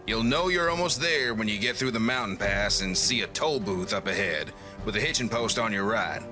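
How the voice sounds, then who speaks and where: A man's voice: lowish voice, lively intonation, normal speed, over music, lightly acted. A man voicing an amiable, down-on-his-luck traveler in a video game, chatty and wryly explaining things. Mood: friendly, businesslike. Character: amiable down-on-his-luck male traveler offering paid courier work. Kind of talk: video game dialogue acting.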